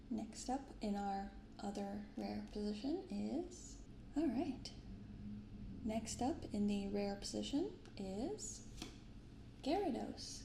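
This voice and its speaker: Soft-spoken ASMR woman with a gravelly creak. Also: medium pitch, echoey room, background noise. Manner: gentle, unhurried reading and commentary. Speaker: card unboxing video host. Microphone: binaural microphone close up.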